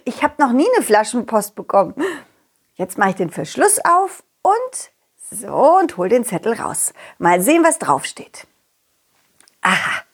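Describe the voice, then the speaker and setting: Woman as a lively, friendly storytelling dog. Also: a little high, unhurried, husky, full character voice. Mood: curious. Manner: animated, expressive, addresses children directly. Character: confident, loyal, friendly talking dog telling his adventure. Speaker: puppeteer storyteller. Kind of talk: children's audio story, narrating to kids.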